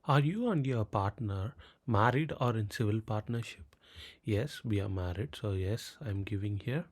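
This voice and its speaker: A man's voice: lowish voice, lively intonation, normal speed. A man with a Tamil Indian accent, a native Tamil speaker, narrating a step-by-step screen tutorial in a clipped way, reading out questions and answering them. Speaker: tutorial presenter. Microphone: voice-over on a screen recording.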